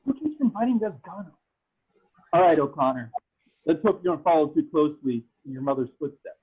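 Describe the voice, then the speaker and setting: A man's voice: a little high, animated, a little fast, slightly rough, narrow, tinny audio. Man playing a cocky alien racer, thin call audio. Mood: irritated, taunting. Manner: dismissive, taunting. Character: cocky, scornful male alien pod racer. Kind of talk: fan fiction table read. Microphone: video call.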